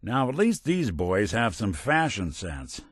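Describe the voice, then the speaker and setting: Weary noir robot detective for a video game, american, deep voice, dry humor, really moves around in pitch. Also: male voice, big pitch swings, unhurried, breathy edge, dry recording, full character voice. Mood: wry, musing. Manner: wry, dry, old-fashioned hard-boiled slang. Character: world-weary middle-aged male android detective, wry and decent. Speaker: companion character in a video game.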